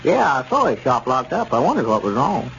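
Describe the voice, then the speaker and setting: An actor playing an easygoing, sensible small-town store owner in a 1930s radio comedy. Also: male voice, medium pitch, big pitch swings, fairly quick, vocal fry, fairly bright tone. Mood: skeptical. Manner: chuckling, level-headed. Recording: dull, noisy 1930s radio recording.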